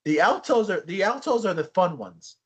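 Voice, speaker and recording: A young man co-hosting a casual podcast, telling stories in a rambling, animated way with frequent restarts. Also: high-pitched, moderate pace, breathy edge. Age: young adult. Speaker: podcast co-host. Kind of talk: casual chat.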